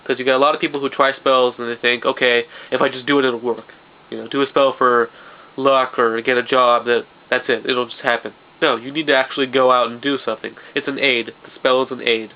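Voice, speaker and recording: A man's voice: medium pitch, level tone, a little fast. Stuffy-nosed young man, rambling. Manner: rambling, restarts often, loose explanations. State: stuffed-up nose. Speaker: vlogger. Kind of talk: casual vlog monologue. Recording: dull lo-fi home video with faint hiss.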